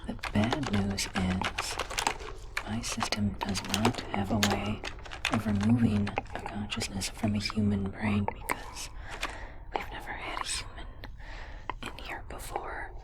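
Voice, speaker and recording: A woman playing a calm, methodical alien medical technician in a hushed, deliberate ASMR roleplay, reassuring the listener. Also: medium pitch, poor audio. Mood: hushed, apologetic. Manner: slow, deliberate, hushed delivery.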